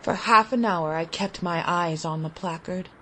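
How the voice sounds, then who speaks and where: A woman narrating a literary short story in a deliberate, expressive, dramatic way with long pauses. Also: lowish voice, slight background noise. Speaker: audiobook story reader.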